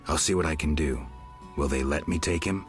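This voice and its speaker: A man playing a stoic, gruff witcher in a video game, speaking in terse, flat, dry lines. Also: a bass voice, fairly quick, faint music behind. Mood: businesslike. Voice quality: gravelly, husky. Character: stoic, gruff mutant monster hunter, a grim male witcher. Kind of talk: video game dialogue acting.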